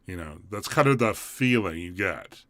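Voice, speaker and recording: A man's voice: low voice, animated, normal speed, a bit bright, no echo. A man with an English accent hosting a live stream, talking casually and thinking aloud as he goes. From England. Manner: thinks aloud, rambling and conversational.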